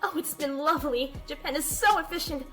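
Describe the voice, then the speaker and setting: Woman voicing a loud, high-pitched, pushy goose. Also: a little fast. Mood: chatty, forthright. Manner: brash, office-jargon talk. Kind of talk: animated comedy comic dub. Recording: music behind the voice.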